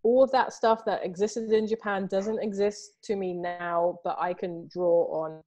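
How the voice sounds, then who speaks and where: A woman's voice: medium pitch, measured pace, lo-fi sound. A woman, talking animatedly as an artist guest over a video call from home, with plenty of "you know"s. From Southeast London, England. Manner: animated, gestures a lot, many fillers like "you know". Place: home room with books and artwork behind. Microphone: video call, earphones.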